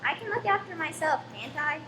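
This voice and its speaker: A woman's voice: sits high, animated, brisk. A woman playing an eager, polite young boy in a radio play, with an earnest manner. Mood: cheerful. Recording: noisy, echoey hall.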